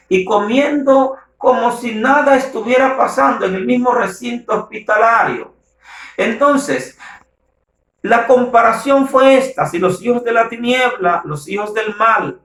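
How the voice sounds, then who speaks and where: High-voiced male preacher in an echoey room. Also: fairly bright tone. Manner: emphatic, exhortative, repeats phrases for weight. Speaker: pastor. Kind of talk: sermon, preaching to the faithful. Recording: roomy, echoing sound.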